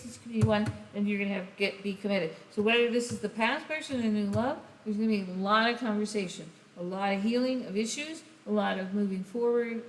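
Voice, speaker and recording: A woman giving a casual tarot reading, rambling and speaking straight to the listener, her voice going hoarse. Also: medium pitch. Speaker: tarot reader. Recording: echoey room, faint hiss.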